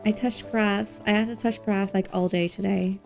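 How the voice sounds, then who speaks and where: A woman's voice: medium pitch, fairly even intonation, normal speed, creaky, a little mellow, soft background music, rough recording. A woman livestreamer giving casual, chatty commentary while playing a game.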